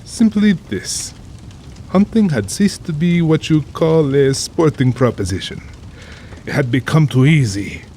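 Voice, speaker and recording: An actor playing an urbane, cold-blooded middle-aged general and big-game hunter, speaking formally with pompous, suave amusement in an audio drama. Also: male voice, fairly high pitch, lively intonation, measured pace, mellow, slight background noise. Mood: suave, boastful.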